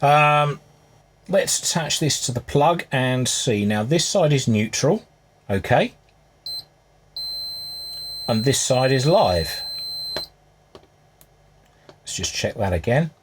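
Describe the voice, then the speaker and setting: A man with a husky voice giving casual, unscripted hands-on repair commentary, musing aloud as he works. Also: mid-range voice, expressive, slow pace, pauses often, background noise. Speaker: hobbyist restorer presenting his repair.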